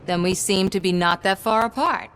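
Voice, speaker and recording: A woman's voice: medium pitch, moderate pace, fairly bright tone, a little hiss or hum, natural voice. A woman playing a persistent, self-assured television reporter in a video game, speaking in a probing and persuasive way. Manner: smooth-talking, probing, persuasive. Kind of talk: video game cutscene acting.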